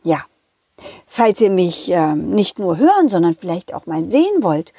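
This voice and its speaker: A woman's voice: on the low side, very animated, measured pace, dark tone, slightly rough, dry recording, voice pushed down, full character voice. A woman voicing a cheerful, trusting male dog who chattily tells children's stories in a childlike, direct way. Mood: cheerful, encouraging. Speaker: storytelling puppet character, host. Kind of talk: lively children's audio story narration.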